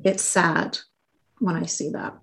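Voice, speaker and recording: A woman's voice: on the low side, level tone, takes it slowly, vocal fry, fairly bright tone. A woman with Arabic as her first language hosting a casual online talk, outspoken and swearing when indignant. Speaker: host.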